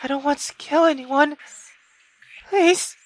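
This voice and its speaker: Guy doing a scared girl in a visual novel, high shaky pleading voice, super emotional. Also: takes it slowly. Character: frightened young woman pleading with her domineering mother.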